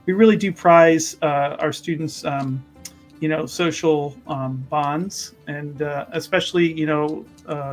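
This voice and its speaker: A man's voice: on the high side, lightly acted. A man playing a polite, learned university official who rambles hesitantly and anxiously reassures in a tabletop roleplaying game. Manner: hesitant, repetitive, placating.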